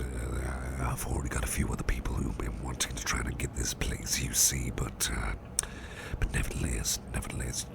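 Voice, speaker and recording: A man voicing a courteous, attentive businessman boss in soft, breathy ASMR roleplay, speaking gently and politely and repeating his phrases. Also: noisy background, exaggerated voice. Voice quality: very deep, gravelly, breathy near-whisper.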